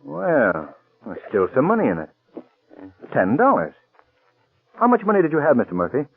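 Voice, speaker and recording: A man acting a suave gentleman detective in a 1940s radio drama, polished, inquisitive and deliberate. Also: mid-range voice, huge pitch swings, husky, heavily acted. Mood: concerned. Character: suave, probing amateur detective, calm adult gentleman. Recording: tinny vintage radio recording.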